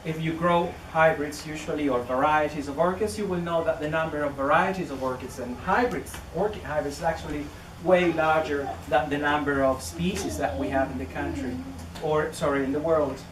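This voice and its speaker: A man's voice: fairly high pitch, fairly even intonation, normal speed, hardly any pauses. Chilean man in his thirties, hesitant researcher giving a public talk, recorded from afar in an echoey, noisy room. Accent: Chilean Spanish. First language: Spanish. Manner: hesitant, many fillers and restarts. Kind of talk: public lecture. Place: indoor venue near a greenhouse. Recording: distant roomy recording with noise.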